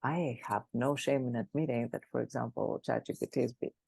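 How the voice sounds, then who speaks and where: A woman speaking as the expert guest in an interview, animated and emphatic, often restarting her sentences. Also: very deep voice, a little fast, creaky, husky, dry recording. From Greece. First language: Greek. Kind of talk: conversational interview.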